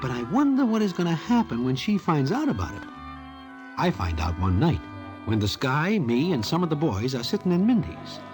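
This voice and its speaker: Radio narrator: colorful Broadway guy, fairly high voice, very animated, formal slangy storytelling. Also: moderate pace, music in the background. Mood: wry, confiding. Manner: stilted, present-tense storytelling slang. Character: colorful streetwise Broadway guy telling a gamblers' tale. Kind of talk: old-time radio scripted narration.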